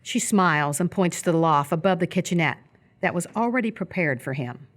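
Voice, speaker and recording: A woman narrates a live radio play from a script. Her voice is on the low side as she reads out stage directions in the present tense. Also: animated, a little fast.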